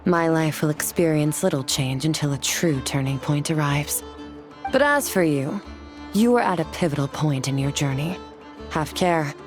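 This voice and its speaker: Woman as a low-voiced, aloof female warrior. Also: soft background music, close to an everyday voice. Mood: solemn. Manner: measured, terse, detached, formal. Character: cool, aloof young woman warrior, blunt and solemn. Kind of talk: video game voice acting.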